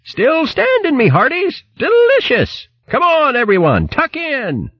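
A man's voice: extremely high pitch, huge pitch swings, a little slow, muffled recording, voice pushed up, full character voice. A man voicing a jolly, greedy upper-class hare sailor in a storybook, with plummy, blustering old-fashioned slang. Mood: gleeful.

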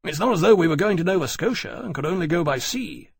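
A man's voice: a little high, very animated, brisk, slightly rough, no echo, pitched above the performer's own voice. A man voicing a hot-headed, urgent British military officer in an audiobook, arguing emphatically in period-formal speech. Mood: impatient, demanding.

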